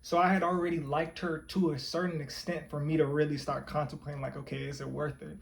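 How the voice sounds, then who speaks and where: A man's voice: fairly high pitch, flat delivery, fast talker, vocal fry. A man in his twenties chatting casually and candidly with his girlfriend, swearing freely. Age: 20s. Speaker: co-host of couples channel. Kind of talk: casual couple chat.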